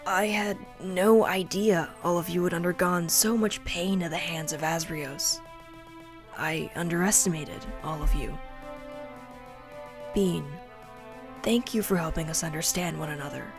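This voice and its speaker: A woman's voice: on the low side, measured pace, over music. A woman playing a stern, reserved, grave rebel fighter in a fantasy audio drama. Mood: solemn.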